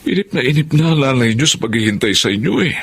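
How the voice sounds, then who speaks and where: A man's voice: medium pitch, animated, quick pace, gravelly, husky. A man acting as an anxious, grieving middle-aged father with a Filipino accent in a radio drama.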